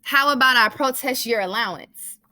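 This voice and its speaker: A woman's voice: fairly high pitch, normal speed, sharp tone, poor audio. A woman voicing a patient, reassuring father who explains things to his young children in a storybook. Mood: teasing.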